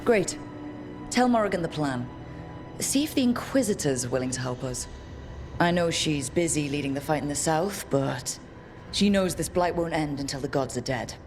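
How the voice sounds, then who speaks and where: A woman's voice: deep voice, expressive, normal speed, over music. A woman playing a resolute, plain-spoken leader rallying her team in a video game cutscene. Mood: determined.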